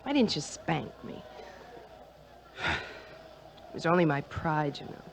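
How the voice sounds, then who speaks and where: An actress playing a tender, adoring sweetheart with a soft, intimate delivery in an old-time radio drama. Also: female voice, low voice, very animated, takes it slowly, creaky, a little hiss or hum, exaggerated voice. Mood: tender, regretful.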